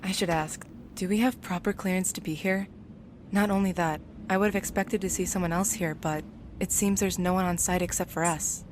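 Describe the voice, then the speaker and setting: A woman's voice: on the low side, fairly even intonation, fairly quick, darkish tone, a little hiss or hum, close to an everyday voice. A woman playing a stern, sensible young woman in an audio drama, with a dry, weary, scolding delivery. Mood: wary.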